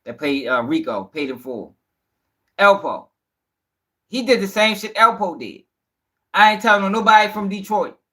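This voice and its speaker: A man's voice: high voice, lively intonation, normal speed, long pauses, bright, forceful tone. A man hosting a casual livestream, commenting bluntly in slang with swearing and repeating himself for emphasis. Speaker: host.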